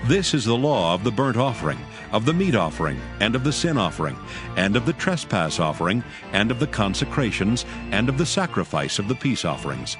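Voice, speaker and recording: Low-voiced solemn male narrator over music. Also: very animated. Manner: solemn, measured, formal. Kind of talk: dramatized scripture narration.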